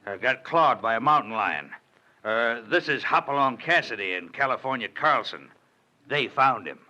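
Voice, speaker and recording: An actor playing a shifty, evasive outlaw villain who stammers and hedges in a 1940s radio drama. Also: male voice, medium pitch, expressive, moderate pace, sharp tone, muffled recording. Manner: stammering, wheedling, full of uh and why-why. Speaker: villain Rucker.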